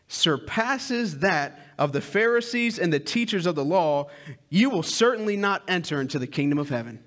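A man in his thirties preaching a sermon on a church stage through a headset mic, with loud, emphatic lines and hushed asides. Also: fairly high pitch. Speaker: pastor.

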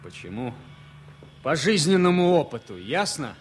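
An actor playing an irritable, ailing pensioned ex-military man of thirty-four, grumbling haltingly in a 1970s radio play. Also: a little high, very animated, a little hiss or hum.

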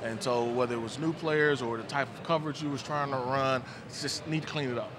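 A man in his forties, a former player, giving relaxed postgame football analysis into a handheld mic on a studio set. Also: mid-range voice, brisk, crowd noise. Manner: relaxed, thoughtful, many "you know" fillers. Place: studio set with team backdrop, indoors. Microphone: handheld microphone.

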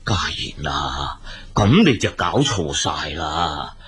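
A husky old father from a radio play, with a Hong Kong Cantonese accent. His low voice swings widely in pitch as he sighs, grumbles and pleads, weary and exasperated. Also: very animated, a bit bright, exaggerated voice. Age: older. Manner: sighing, drawn-out complaining. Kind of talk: radio drama acting.

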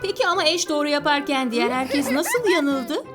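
A woman with a high, lively voice narrates a children's cartoon. She tells the story expressively, with exclamations and questions, swinging widely in pitch over a music bed. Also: normal speed. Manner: expressive, exclamatory, talks to the characters and asks questions.

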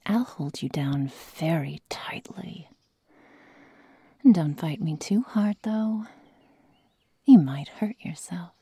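Slow, breathy sadistic werewolf girl, acted by a woman. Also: low-pitched, expressive, no echo, full character voice. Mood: sadistic calm. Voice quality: breathy, often whispered. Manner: slow, soft, mock-tender menace. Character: sadistic young female werewolf, coldly teasing and menacing. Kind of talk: ASMR roleplay, whispered and close. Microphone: close microphone, dry sound.

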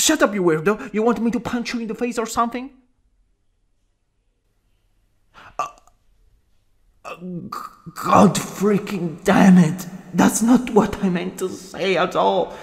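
Man acting a gravelly, rude young school bully. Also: sits high, heavily acted. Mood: angry, flustered. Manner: cocky taunts breaking into stammering, breathy asides. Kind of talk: ASMR roleplay drama.

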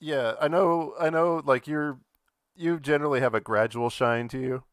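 A man's voice: fairly high pitch, normal speed, no echo, clean studio sound. A man co-hosting a podcast with casual, joking banter. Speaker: podcast co-host.

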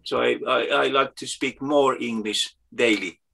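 A man's voice: mid-range voice, steady tone, moderate pace, vocal fry, a bit bright. A man from Finland, a guest chatting on a video call, searching for his English words. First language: Finnish. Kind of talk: casual video call chat.

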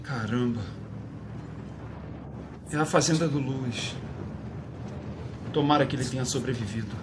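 An actor playing a rattled, talkative uncle at the wheel in a fan-dubbed video game, agitated and conversational. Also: male voice, mid-range voice, unhurried, background noise, natural voice. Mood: uneasy, informative. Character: rattled, talkative uncle driving the getaway car. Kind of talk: fan-dub game acting in Portuguese.

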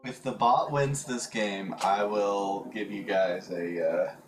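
A man hosting and commentating a game stream in a relaxed, easygoing way. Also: lowish voice, slow pace, a crowd in the background. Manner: relaxed, easygoing, laughs along.

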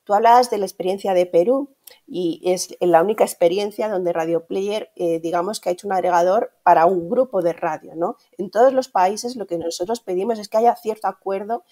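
A woman's voice: medium pitch, fairly quick, dark tone. A middle-aged woman with a Castilian Spanish accent explaining things conversationally in an interview over a video call, often ending phrases with tag questions. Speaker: guest, radio industry manager. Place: indoor room at home, on a video call.

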